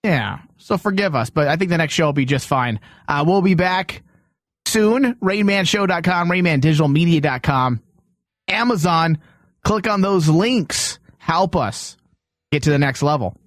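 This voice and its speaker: A brash male podcast host with a high, very animated voice and a close, dry sound. He talks casually and jokes crudely, swearing freely in the manner of an uncensored comedy show. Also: moderate pace, no room sound. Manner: brash, joking, profane.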